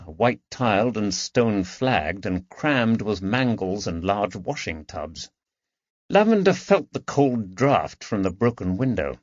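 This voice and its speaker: A man's voice: lowish voice, a little mellow, no echo, lo-fi sound. A man reading scripted mystery fiction as an audiobook narrator.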